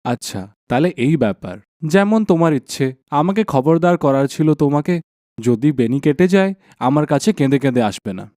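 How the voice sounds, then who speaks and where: A man playing an anxious, caring village husband in a dramatised animated horror folk tale, with earnest delivery. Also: a little high, normal speed, dry recording, studio quality, natural voice. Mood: cautioning.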